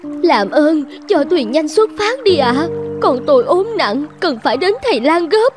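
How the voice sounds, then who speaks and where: A woman's voice: high voice, big pitch swings, a little slow, music in the background. A woman voicing a worried female passenger in a cartoon folk tale. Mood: anxious. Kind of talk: animated folk tale voice acting.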